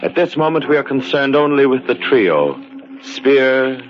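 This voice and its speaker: An actor playing the solemn, mysterious narrator of a radio horror anthology, speaking portentously with deliberate, heavy pauses. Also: male voice, mid-range voice, sing-song, a little hiss or hum. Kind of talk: dramatic 1940s-style radio horror narration. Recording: muffled old radio broadcast. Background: music.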